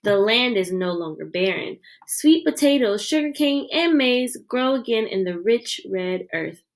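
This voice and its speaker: A woman reading a children's picture book aloud with expressive storytelling delivery. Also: fairly high pitch, unhurried. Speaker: read-aloud reader.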